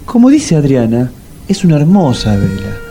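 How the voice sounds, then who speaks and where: Laid-back male suitor, mellow dark timbre, very lively intonation, blunt, radio comedy. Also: medium pitch, moderate pace. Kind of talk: comic radio drama acting. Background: music behind the voice.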